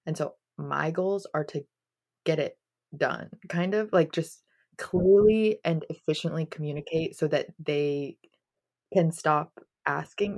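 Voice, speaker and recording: Deep, creaky-voiced woman talking casually. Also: darkish tone. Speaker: podcast host. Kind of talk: casual discussion.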